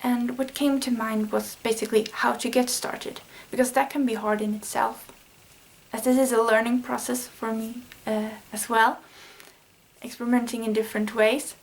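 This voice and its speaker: Swedish woman, native speaker of Swedish, earnest lesson vlogger; light-pitched, steady, little melody. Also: normal speed, rough recording. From Sweden. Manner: earnest, thinking aloud with small fillers. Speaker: language learning vlogger. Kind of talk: casual explanatory vlog lesson.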